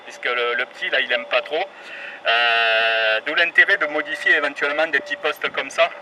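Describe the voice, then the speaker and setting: A man from France chatting casually and jovially in slang over radio as an amateur operator, outdoors. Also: on the high side. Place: outdoors, high up in a city. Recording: noisy outdoor recording with traffic ambience.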